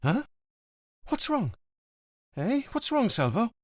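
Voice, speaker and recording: A man voicing an anxious, affectionate young woman in an audiobook, raising his voice to a soft, pleading tone. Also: fairly low pitch, sing-song, normal speed, no room sound, clean studio sound, pitched above the performer's own voice. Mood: worried.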